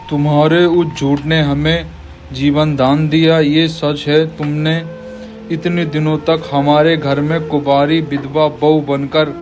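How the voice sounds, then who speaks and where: A man playing a remorseful old father-in-law bird. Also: on the high side. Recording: distant voice over loud music.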